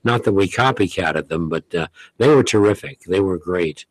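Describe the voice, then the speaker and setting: A man's voice: low voice, expressive, normal speed, no room sound, rough recording. Genial older man in a radio studio. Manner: genial, joking, reminiscing. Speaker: radio show host, guest. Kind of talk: casual interview talk. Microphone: studio boom mic.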